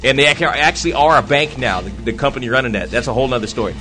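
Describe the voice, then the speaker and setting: A man with a mid-pitched voice telling loose, joking stories about online games. Loud music plays behind him the whole time. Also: racing. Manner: joking, rambling anecdotes. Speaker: co-host of gaming show. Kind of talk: casual chat.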